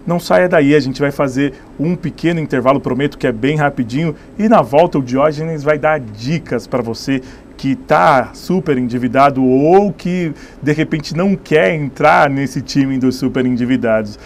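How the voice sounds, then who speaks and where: A man of medium pitch hosts a studio interview show. He asks leading questions and recaps what his guest says, with faint noise underneath. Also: normal speed. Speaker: TV show host.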